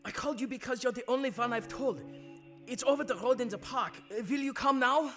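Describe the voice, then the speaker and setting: A man's voice: very high-pitched, a little fast, music in the background. An actor playing an agitated, obsessive inventor in a radio drama, urgent and insistent, stammering as he pleads and orders. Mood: urgent, intent. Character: agitated, obsessive male amateur sound inventor, desperate and insistent.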